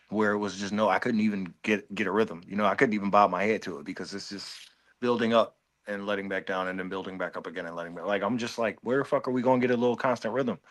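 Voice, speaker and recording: A man's voice: on the low side, fairly even intonation, fast talker, a bit bright. A man co-hosting a casual podcast, giving blunt, profane album reviews and mimicking voices. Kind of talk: casual music review chat.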